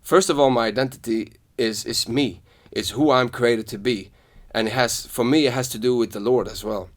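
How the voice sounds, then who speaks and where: A man's voice: mid-range voice, normal speed. A young man from Sweden hosting a podcast, talking earnestly and telling personal stories in casual conversation. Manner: earnest, storytelling, animated when quoting others. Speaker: podcast host.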